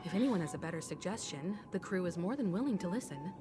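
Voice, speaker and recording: Woman acting a lively, assertive crew navigator. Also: lowish voice, quick pace, over music, natural voice. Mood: firm, tactful. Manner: polite but pointed, businesslike. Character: brisk, sensible, assertive female crew navigator. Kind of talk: video game voice acting.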